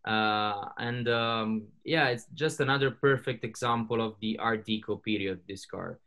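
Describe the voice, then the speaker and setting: A man's voice: fairly low pitch, measured pace, a bit bright. A man on a video call, speaking hesitantly with many fillers but enthusiastically about classic cars on a panel.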